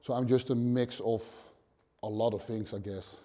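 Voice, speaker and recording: A Dutch man in his thirties, a gym owner answering interview questions bluntly in short, halting phrases, seated in a dim gym. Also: medium pitch, steady tone, warm tone. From Netherlands. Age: 30s.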